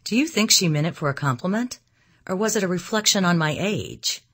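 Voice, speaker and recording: A woman narrator acts out a vain society widow for an audiobook. She sounds wistful and proud, with a plaintive, theatrical edge. The pitch moves widely and the tone is slightly husky. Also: expressive, fairly quick, fairly bright tone. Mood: piqued, wry. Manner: dramatic, plaintive. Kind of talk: audiobook character dialogue.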